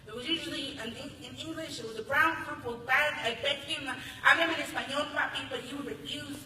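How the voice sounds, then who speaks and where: An American woman performing spoken word poetry live with expressive, emphatic delivery into a stage microphone. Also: high voice, a little fast. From Los Angeles, United States. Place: large hall at a conference ceremony. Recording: echoey hall, distant, faint noise.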